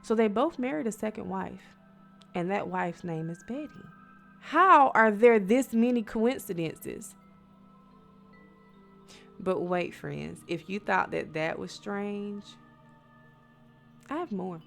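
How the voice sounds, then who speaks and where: Gravelly-voiced American woman telling spooky bedtime stories. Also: medium pitch, animated, takes it slowly, long pauses. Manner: conversational, addresses listeners as friends, rhetorical questions, dramatic emphasis. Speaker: storyteller, host of a bedtime story show. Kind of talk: spooky bedtime storytelling with casual asides. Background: music.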